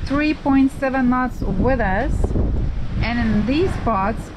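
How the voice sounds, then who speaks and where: A woman's voice: fairly high pitch, slow. A middle-aged woman chatting casually to camera as a sailing vlogger on a boat at sea. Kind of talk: casual vlog chat and narration. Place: sailboat cockpit at sea. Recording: loud wind, boat and engine noise.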